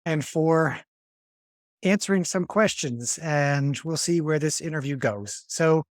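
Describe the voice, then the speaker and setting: A man in his fifties hosting a podcast, asking questions in a friendly, measured way. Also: on the high side, expressive, no room sound, clean studio sound. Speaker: podcast host. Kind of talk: hosted interview.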